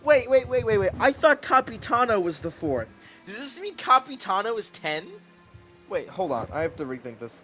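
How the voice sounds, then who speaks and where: A man giving casual live reaction commentary as a gaming streamer, excitable, repeating himself as he thinks aloud. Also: sits high, moderate pace, faint music behind.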